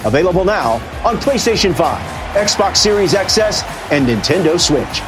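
High-voiced man hyping a game ad. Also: sing-song, normal speed, music in the background. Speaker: announcer. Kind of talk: game commercial voice-over.

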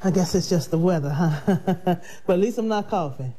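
A woman's voice: on the low side, normal speed, slightly rough. A woman hosting a radio show and selling life insurance. She talks in a chatty, grateful way with a nasal sound from her sinuses. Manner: warm, grateful, chatty, with laughs. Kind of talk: casual radio talk.

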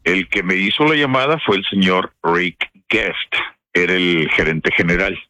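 Northern Mexican male radio personality, interview guest. Forward, bright tone, close dry sound, slangy and expressive storytelling. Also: deep voice, a little slow, no room sound. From Piedras Negras, Mexico. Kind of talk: casual anecdotal interview.

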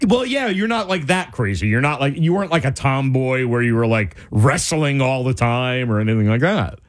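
A man co-hosting a radio call-in show, emphatic and animated, his voice starting to go. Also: mid-range voice, moderate pace, no room sound, studio quality. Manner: animated, emphatic, talks through restarts. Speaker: radio co-host. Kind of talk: lively radio call-in chat. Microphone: studio microphone.

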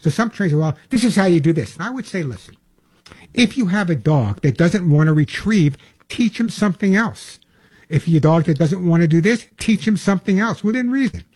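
A man's voice: a little high, darkish tone, breathy edge, no echo. An older man hosting a long-running pet radio show, talking in an emphatic, folksy monologue that repeats its points. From Long Island, New York.

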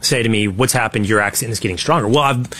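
A man's voice: fairly low pitch, fast, a bit bright. A man from Texas with a faint Texan accent, hosting a casual panel chat and giving his opinions. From Texas, USA. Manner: conversational, opinionated, with restarts. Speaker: show host.

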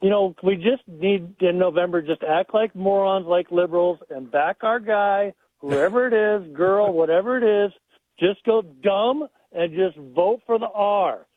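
A male caller with a high voice speaks over a tinny phone line. He is blunt and rambling, with a joking edge. The sound is dry. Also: unhurried, dry recording.

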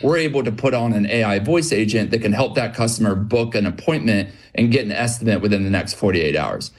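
A man's voice: fairly low pitch, brisk. A man as an interview guest, explaining his business in an upbeat, practical way. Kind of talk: interview answers.

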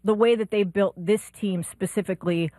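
A woman co-hosting a sports talk show, asking quick, searching questions. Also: medium pitch, steady tone, warm tone, no echo, clean studio sound. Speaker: sports talk radio co-host.

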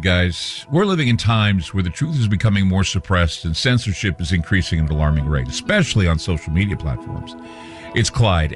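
A man, the host of his own show, reading a promotional spot in a confiding, conspiratorial tone. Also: lowish voice. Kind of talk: promotional read. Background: faint music.

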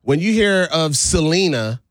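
Male American call-in host, middle-aged, animated delivery, slangy and playfully argumentative, recorded dry. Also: fairly high pitch, a little slow, no echo, poor audio. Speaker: call-in talk show host. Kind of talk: casual banter.

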